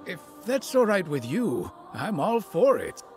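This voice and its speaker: A man's voice: sits high, very animated, measured pace, soft background music. A man playing a small, genial old demon thief in a video game, with a courteous, folksy manner. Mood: deferential. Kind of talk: video game cutscene dubbing.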